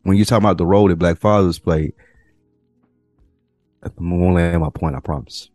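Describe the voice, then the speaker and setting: A man co-hosting a podcast, chatting loosely in slang and working through his points with pauses and restarts. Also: low voice, darkish tone, no room sound, clean studio sound, soft background music. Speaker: podcast co-host. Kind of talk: casual chat.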